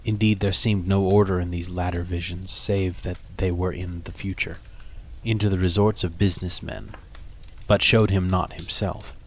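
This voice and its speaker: A man telling a classic story as narrator, in a deliberate, solemn and expressive dramatic reading, with some noise behind. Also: sits low. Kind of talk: dramatic audiobook-style narration of a classic tale.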